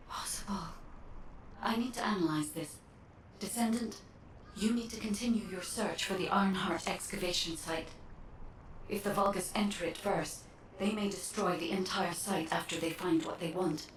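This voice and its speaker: A woman voicing a solemn, analytical guide in a video game, formal and precise with quiet concern. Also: mid-range voice, moderate pace, natural voice. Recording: echoing, distant, faint noise.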